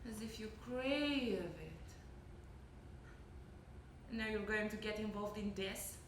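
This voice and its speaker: A woman's voice: mid-range voice, animated, takes it slowly. A young woman acting a domineering, evasive boss in an intense stage drama, commanding and coldly threatening. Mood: scornful. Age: young adult. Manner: commanding, repetitive, forceful. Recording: echoey stage, slight background noise.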